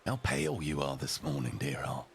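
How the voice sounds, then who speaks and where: A man voicing a suave, sinister bridegroom in a storybook tale, with a gravelly voice. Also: very deep voice, big pitch swings, moderate pace, soft background music, heavily acted.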